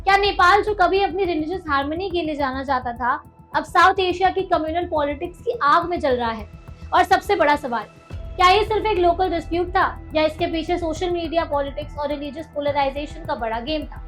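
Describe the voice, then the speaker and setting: A woman's voice: high-pitched, brisk, fairly bright tone. A woman with an Indian accent presenting a scripted news explainer as host, with dramatic, emphatic delivery. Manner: dramatic, emphatic, posing rhetorical questions. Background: music bed.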